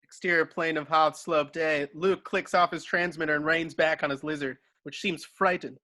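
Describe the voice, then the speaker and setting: A man's voice: on the high side, steady tone, normal speed, pushed and bright, no room sound, clean studio sound. A man reading stage directions as narrator in an amateur table read, now and then stumbling and correcting himself.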